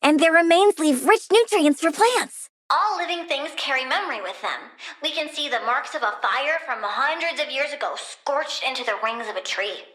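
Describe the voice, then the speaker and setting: An actress with an American accent playing a bratty, curious young female plant-human supervillain in a video game, girlish, expressive, blunt and flippant. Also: sits high, moderate pace, hardly any pauses, reverberant. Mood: musing. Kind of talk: animated video game voice acting.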